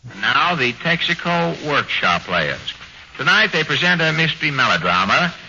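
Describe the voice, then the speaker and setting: A man hosting a 1940s radio comedy, dry and mock-stern with quick quips. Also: fairly high pitch, big pitch swings, bright, forceful tone. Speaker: comedy show host. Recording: dull old radio broadcast, faint hiss.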